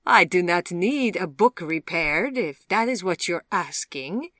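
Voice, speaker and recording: Female reader as cold, glamorous foreign beauty, accented and blunt, higher and slower than the reader's own voice, dry sound. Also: big pitch swings, fairly bright tone, no room sound, exaggerated voice. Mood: haughty.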